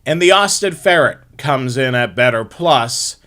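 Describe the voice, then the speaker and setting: A man's voice: medium pitch, animated, measured pace, a bit bright. A man hosting a live hobby show, explaining things step by step in an informal slideshow presentation.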